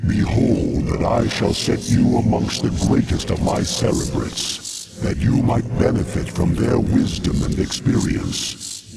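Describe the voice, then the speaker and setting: A man voicing an ancient, godlike alien hive mind for a video game, grandiose and commanding, with solemn archaic phrasing and a gravelly voice. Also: on the low side, heavy background noise, heavily acted. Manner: solemn, slow, archaic pronouncements. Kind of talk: video game villain monologue.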